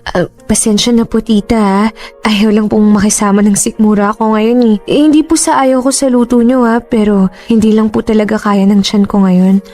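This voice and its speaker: A woman's voice: medium pitch, steady tone, moderate pace, dark tone, faint music behind, natural voice. An actress playing a fearful, weary young pregnant woman in a radio drama, with a Filipino accent. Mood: polite, evasive.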